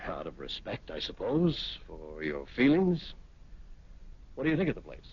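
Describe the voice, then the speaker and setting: An actor playing a grave, persistent police lieutenant in a 1970s radio drama, measured and matter-of-fact. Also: male voice, low-pitched, wildly swooping pitch, breathy edge, heavily acted. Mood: quietly matter-of-fact. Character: persistent, grave male police lieutenant breaking bad news. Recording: dull vintage radio recording.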